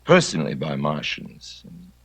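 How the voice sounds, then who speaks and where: A man recalling events as an interviewee, in measured, deliberate and articulate speech. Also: extremely low pitch, sing-song, fairly bright tone, husky. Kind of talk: recollection told in measured speech.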